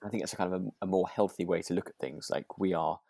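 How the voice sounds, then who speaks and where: A man's voice: deep voice, steady tone, fast talker, gravelly, mellow, no echo, studio quality. A man co-hosting a podcast in casual chat, feeling his way tentatively through points and checking for agreement. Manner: tentative, circles around points, seeks agreement. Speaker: podcast co-host. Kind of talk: casual review chat about a radio drama.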